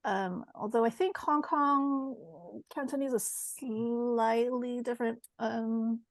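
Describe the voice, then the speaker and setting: A woman's voice: a little high, steady tone, slow, dry recording. A woman from Taiwan with an American accent tinged by Mandarin, chatting hesitantly on a video call with lots of ums and restarts. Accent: American English with a Mandarin tinge. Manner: hesitant, many ums and self-corrections. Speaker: course participant. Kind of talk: casual group chat on a video call.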